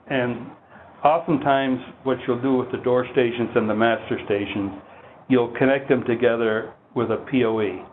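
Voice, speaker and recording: A man presenting technical product training in a measured, explanatory, instructional way. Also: medium pitch. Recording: muffled phone-like line with heavy noise.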